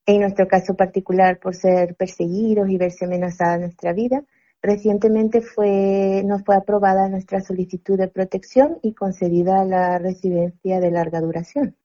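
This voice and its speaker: Salvadoran woman, 30s, phone interviewee, mellow, hesitant, muffled line. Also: medium pitch, fairly even intonation. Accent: Salvadoran Spanish. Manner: measured, slightly hesitant, with "mm" fillers.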